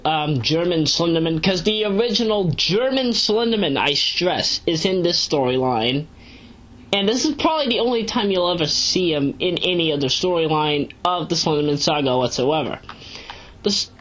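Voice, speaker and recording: Low-voiced woman rambling on a lo-fi recording. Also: a bit bright. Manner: rambling, digressive, emphatic asides. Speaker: amateur web series reviewer. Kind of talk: casual unscripted review commentary. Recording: dull lo-fi home recording.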